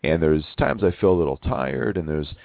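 A man in his forties, hosting a reflective personal monologue, who talks haltingly with many restarts and repeated words. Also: a bass voice, animated, creaky, no room sound. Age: 40s. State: losing his voice from overwork. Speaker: podcast host. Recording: narrow phone-like sound.

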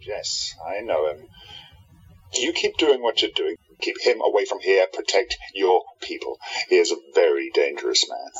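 Man playing a low, husky, intense wronged hero. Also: animated, normal speed, a bit bright, highs cut off, exaggerated voice. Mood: urgent, bitter. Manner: emphatic, earnest, dramatic. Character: intense wronged hero, bitter enemy of the villain. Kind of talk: radio drama acting.

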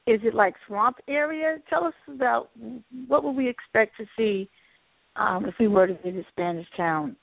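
A woman hosting a radio interview, asking brisk questions and giving short prompts to her guest. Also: fairly high pitch, vocal fry, no room sound. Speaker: radio show host. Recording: phone-line sound, dry.

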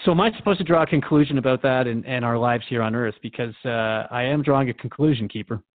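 A man's voice: mid-range voice, quick pace, narrow, tinny audio, close to an everyday voice. A man playing a curious, respectful radio host in a radio drama, interviewing in an inquisitive, conversational way. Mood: curious, earnest.

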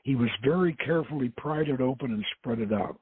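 A man with a gravelly, husky voice hosting and reading his own novel aloud as dramatic narration. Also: mid-range voice, a little fast. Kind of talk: dramatic audiobook-style narration. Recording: dull, lo-fi audio.

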